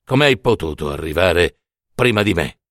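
A man voicing Death as a solemn, cold, stern gardener of souls in a storybook reading, grave and measured. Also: low-pitched, expressive, a bit bright, no room sound, studio quality, voice pushed down. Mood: stern, surprised.

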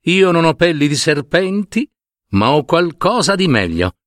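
Man as a folk-tale husband, henpecked, stubborn, slightly raised lively voice, emphatic. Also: fairly high pitch, unhurried, dry recording, studio quality, voice pushed up. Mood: meek, hesitant. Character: henpecked husband, meek with wife, stubborn when pushed.

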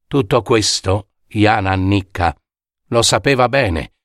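A man narrating a scripted fairy tale as a storyteller, in a theatrical, measured way with suspenseful pauses. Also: fairly low pitch, a bit bright, no echo, studio quality. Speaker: storyteller and author reading his own fairy tale.

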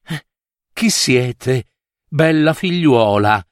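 A man's voice: medium pitch, lively intonation, drawn-out words, dry recording, studio quality. A man voicing a wounded young prince in a fairy tale, courteous and earnest. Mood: dazed, courteous.

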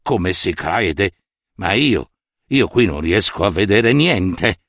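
A man's voice: low voice, expressive, slow pace, fairly bright tone, no echo, studio quality, voice pushed down, exaggerated voice. A man voicing an anxious old male minister who mutters hesitantly and worries over every word. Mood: doubtful.

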